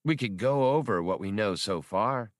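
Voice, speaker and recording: A man voicing a spirited, quick-witted woman traveler with a playful, teasing manner in an audiobook. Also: fairly low pitch, animated, dry recording, clean studio sound, natural voice. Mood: businesslike.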